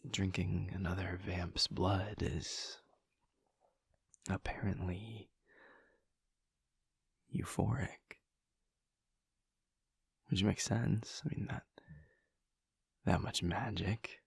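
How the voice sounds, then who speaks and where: Man as a low, hushed, hesitant vampire lover. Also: fairly even intonation, no room sound, clean studio sound, heavily acted. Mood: thoughtful, hushed. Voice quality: breathy, gravelly low voice. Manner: halting, hushed, stammering, often breathy near-whisper. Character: male vampire lover, gentle, hesitant, earnest and tender. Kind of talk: intimate audio roleplay addressed to the listener.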